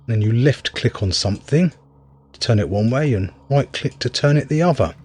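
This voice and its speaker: A man's voice: mid-range voice, expressive, moderate pace, a little mellow, breathy edge. A man giving casual gameplay commentary as a reviewer, often hesitant and thinking aloud. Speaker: video game reviewer.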